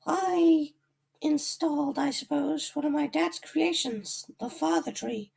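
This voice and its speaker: A woman voicing an earnest animatronic stage-show character in an audio drama, theatrical and creaky. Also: high voice, slow pace, a little mellow, heavily acted.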